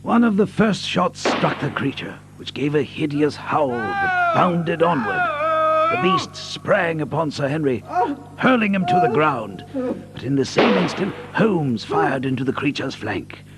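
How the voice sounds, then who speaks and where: An actor playing an earnest gentleman companion who narrates a mystery in an audio drama, grave and suspenseful. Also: a little high, wildly swooping pitch, a little slow, full character voice. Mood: dramatic. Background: music.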